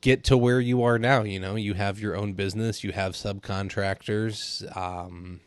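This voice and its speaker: A man's voice: lowish voice, fairly even intonation, unhurried, dry recording. An American man speaking as a podcast host, introducing and interviewing.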